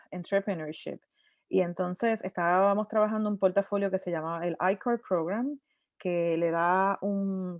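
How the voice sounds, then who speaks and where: A lively woman in her thirties speaks in a mid-range voice. She switches into English terms and often restarts her sentences as she talks. Her voice comes through a dry, dull video call line with no room sound. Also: mid-range voice, no echo. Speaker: panelist. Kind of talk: conversational storytelling on a virtual panel.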